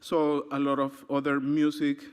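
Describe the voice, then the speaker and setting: A man's voice: on the high side, monotone, unhurried, clear, resonant tone. Man from Honduras, hesitant presenter. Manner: hesitant, frequent "uh" fillers. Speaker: conference presenter. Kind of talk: academic conference talk. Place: conference hall. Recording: long hall reverb.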